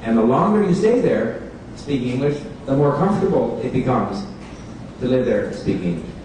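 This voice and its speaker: A man's voice: medium pitch, normal speed. Man speaking in an echoey bookstore. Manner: relaxed, chatty, switches languages, asks the audience questions. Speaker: speaker giving a talk on language learning. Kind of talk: informal public talk to an audience. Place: bookstore event space. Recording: echoey room recorded from far off, background noise.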